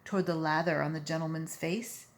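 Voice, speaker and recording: A woman reading a children's story aloud in an expressive, emphatic storytelling manner. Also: low-pitched, a little fast. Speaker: volunteer story reader.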